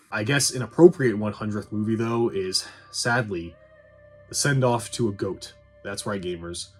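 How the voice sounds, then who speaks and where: A man giving a casual scripted review commentary, with sarcastic dry humour and some swearing. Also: medium pitch. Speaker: video game movie reviewer. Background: faint music.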